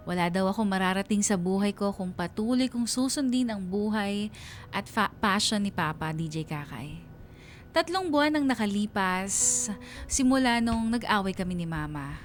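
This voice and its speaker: A woman's voice: medium pitch, close to an everyday voice. A woman playing a melancholy young writer who narrates her life story in a sentimental radio drama letter. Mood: troubled.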